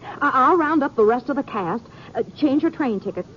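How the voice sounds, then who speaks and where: An actress playing a brisk, practical, bossy woman of a theater troupe in an old-time radio drama, speaking emphatically. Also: sits high, expressive. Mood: urgent. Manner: urgent, emphatic, take-charge. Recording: dull old radio recording, faint noise.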